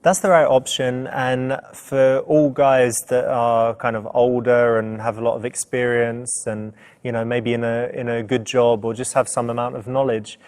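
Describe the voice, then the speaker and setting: A man's voice: medium pitch, flat delivery, normal speed, hardly any pauses, a little mellow. A man hosts a casual advice segment. He talks through options in an explanatory, conversational manner, as if reviewing what just played out. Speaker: dating-advice host who also plays the lead. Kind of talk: casual advice commentary with acted office scenes.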